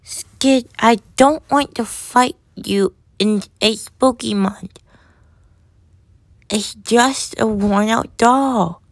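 A woman's voice: a little high, extremely slow, no room sound, heavily acted. A woman voicing an earnest, hurt little cartoon boy who speaks in a pleading, hesitant way. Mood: hurt, exasperated.

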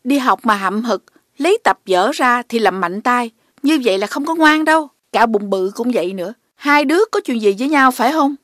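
A woman voicing a firm, caring primary school teacher in a children's cartoon, instructive and measured, with a Southern Vietnamese accent. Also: on the high side, animated, fairly bright tone, dry recording, clean studio sound. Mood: stern, concerned. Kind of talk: children's animated dubbing. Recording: music behind the voice.

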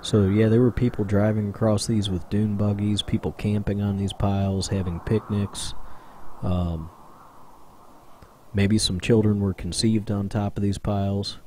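A man's voice: fairly low pitch, level tone, unhurried, warm tone, a little hiss or hum. A man doing casual voice-over narration for his own exploring video, rambling and chatty with plenty of fillers. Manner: rambling, conversational, with many fillers. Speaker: urban explorer vlogger.

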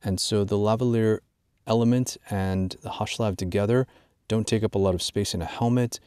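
A man presenting an informal instructional product walkthrough, drawing out key words in a measured way for emphasis. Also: on the low side, fairly even intonation, mellow, smooth, dry recording, clean studio sound. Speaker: gear tutorial presenter.

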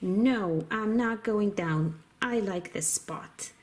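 A woman's voice: mid-range voice, slow, slight background noise, lightly acted. A woman voicing a naughty, stubborn toy doggy who barks and talks defiantly in children's pretend play. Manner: defiant, barking woofs.

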